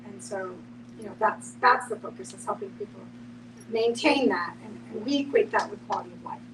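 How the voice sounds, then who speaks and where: A woman's voice: mid-range voice, lively intonation, brisk. A woman explaining her thoughts reflectively in a group discussion, often saying "you know" as a podcast panelist. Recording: noisy, distant microphone, background hum.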